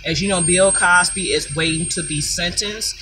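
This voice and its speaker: A woman's voice: on the low side, moderate pace. A middle-aged woman hosting a casual gossip vlog from inside her car, talking loosely and filling her sentences with "you know". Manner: chatty, leans heavily on "you know" fillers. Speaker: vlog host. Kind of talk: casual celebrity gossip chat. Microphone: phone camera mounted at the front of the car. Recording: loud road noise, slightly distant mic.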